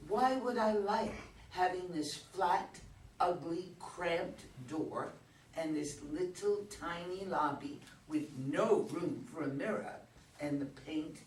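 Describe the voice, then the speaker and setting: A woman acting a wry, slow-spoken poor tenant, distant and roomy. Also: sits low. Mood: indignant, sardonic. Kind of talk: staged play reading. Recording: distant roomy live recording, background noise.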